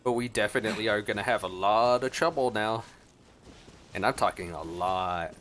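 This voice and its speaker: A man's voice: fairly low pitch, moderate pace, fairly bright tone, slight background noise. A man giving casual reaction commentary as he streams a video game. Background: game music and battle sounds.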